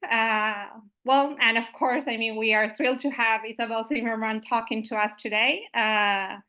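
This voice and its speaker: Lady hosting an online meetup, bright tense voice, kinda high, lots of uh's. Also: fairly even intonation, normal speed. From Uruguay. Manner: friendly, with frequent "uh" and "I mean" fillers. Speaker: meetup organizer and host. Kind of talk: opening remarks at an online meetup.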